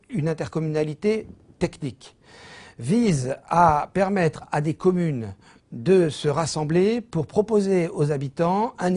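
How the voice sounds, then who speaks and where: A man with a French accent from France giving an explanatory lecture as a professor, in a didactic, measured manner with frequent hesitations. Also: on the high side, mellow. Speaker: business school professor giving an online lecture.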